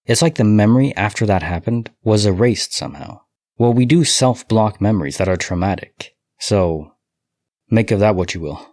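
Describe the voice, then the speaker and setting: Low-voiced man reading and musing. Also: moderate pace, dry recording, clean studio sound. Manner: relaxed, speculative musing with chuckles. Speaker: podcast host. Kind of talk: reading listener stories and commenting.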